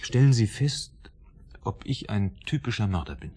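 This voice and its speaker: A man's voice: fairly low pitch, very animated, normal speed, dark tone, slightly rough. An actor playing a troubled, guilt-ridden male writer in a German radio drama, speaking in an earnest, insistent, articulate way. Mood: pleading.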